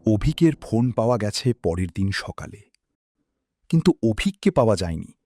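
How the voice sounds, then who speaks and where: A man's voice: lowish voice, big pitch swings, moderate pace, dark tone, no room sound, clean studio sound. A man narrating a scripted horror story.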